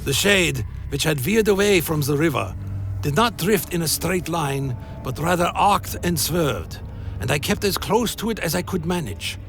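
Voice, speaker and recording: An actor playing a weary undead vampire wanderer, telling his journey in solemn, literary phrasing for a dark fantasy radio play. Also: on the high side, animated, normal speed, gravelly. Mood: solemn, brooding. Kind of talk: dramatic first-person scripted narration, dark fantasy radio play. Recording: music behind the voice.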